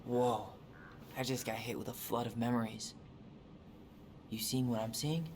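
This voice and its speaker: A man's voice: mid-range voice, steady tone, measured pace, natural voice. A man playing an earnest, fair-minded young man in a naturalistic video game cutscene. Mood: amazed, wondering. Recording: clearly noisy game stream, ambience.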